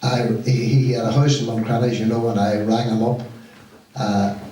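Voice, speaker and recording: A middle-aged or older man with a Donegal Irish accent gives an informal, deliberate thank-you speech to an audience as a pantomime director. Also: mid-range voice, level tone, mellow. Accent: Irish, County Donegal. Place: stage of a community hall. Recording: very echoey hall, distant mic, faint noise.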